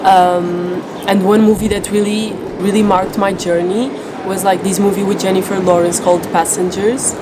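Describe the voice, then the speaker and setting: A young woman speaking candidly as an interviewee, relaxed and soft, filling her talk with "like". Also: medium pitch, moderate pace, few pauses. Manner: relaxed, many "like" fillers, soft-spoken. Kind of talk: candid personal testimony. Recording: loud background noise, air conditioning hum.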